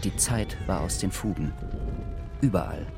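Low-voiced male radio drama narrator. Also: lively intonation, a little slow, creaky, music in the background.

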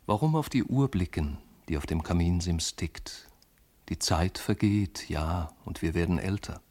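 An actor playing a lovesick, brooding man of letters in a literary radio monologue, speaking lyrically and intimately in measured phrases. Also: low voice, animated, darkish tone. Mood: tender, wistful.

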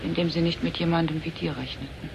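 A woman's voice: low voice, fairly even intonation, quick pace, creaky, dull audio. A woman playing an enigmatic young artificial woman, solemn and searching, in a German radio drama. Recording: noisy old radio play recording.

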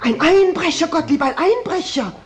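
An actress playing an alarmed, insistent bourgeois wife in a German radio play, urgent and frightened. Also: female voice, very high voice, lively intonation, normal speed.

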